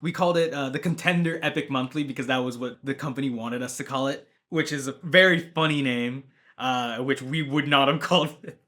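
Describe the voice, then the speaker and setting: A man's voice: medium pitch, a little fast, a bit bright. A young man telling stories in a documentary interview, a laid-back tournament organizer.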